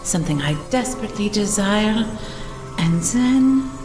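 A woman's voice: mid-range voice, expressive, close to an everyday voice. A woman with a French accent playing a haughty, secretive lady of the house in an audio drama, speaking stiffly and formally. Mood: intent. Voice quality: stiff, formal, slow and cold. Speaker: Madame Alaire. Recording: echoey room with music behind.

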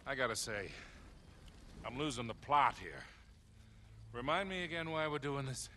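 An actor playing an older, grumbling but loyal adventurer and mentor in a video game, speaking with an American accent in a gruff, salty, folksy way. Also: male voice, medium pitch, animated, normal speed, bright, forceful tone, a little hiss or hum. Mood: weary. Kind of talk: naturalistic video game cutscene acting.

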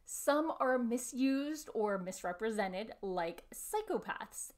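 A woman in her thirties giving an explanatory talk to camera at home, with deliberate phrasing. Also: on the high side. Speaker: vlogger presenting panel notes. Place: small furnished room at home, indoors.

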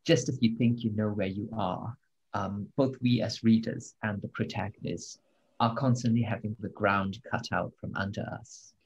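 A man answering as a guest, speaking in a measured, reflective way with small hesitations. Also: lowish voice, steady tone, husky, dull audio. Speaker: literary translator, guest. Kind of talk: interview answer.

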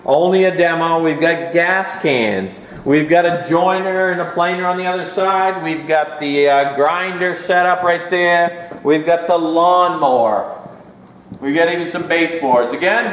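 A man in his thirties walking through an auction barn and listing the tools for sale, one item after another with frequent "uh" pauses. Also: sits high, fairly bright tone. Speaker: auctioneer previewing auction items. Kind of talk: walkthrough listing items for sale. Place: large indoor auction barn full of tools. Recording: echoey big barn.

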